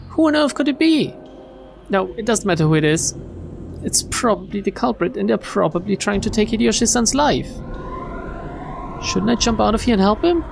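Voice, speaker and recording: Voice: mid-range voice, gravelly. A streamer reading visual novel text aloud in a dramatic narrating style. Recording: game music behind the voice.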